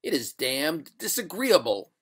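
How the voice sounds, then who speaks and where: Storybook householder , male reader: testy, witty gentleman, formal and dryly sarcastic, gravelly creak. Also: fairly high pitch, animated, moderate pace. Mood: exasperated. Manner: formal, asperity, dry sarcasm. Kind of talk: storybook character voice.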